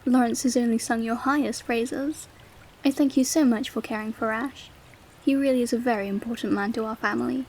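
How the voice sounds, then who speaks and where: A woman playing a gracious, gentle royal lady in a romantic audio drama, formal and gushing, with a soft husky voice. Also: a little high, steady tone, fairly quick, slight background noise, natural voice. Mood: grateful, gracious.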